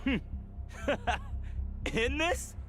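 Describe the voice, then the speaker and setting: A frail, obsessive scientist from a video game. His high voice swings widely as he pleads anxiously and haltingly, in long reverb over music. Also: lively intonation, fairly bright tone, heavily acted. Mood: bitter, incredulous.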